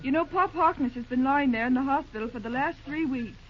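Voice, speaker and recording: A woman's voice: sits high, brisk, natural voice. An actress playing an earnest, spirited young American railroad woman in old-time radio drama style. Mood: worried. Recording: dull, noisy vintage radio recording.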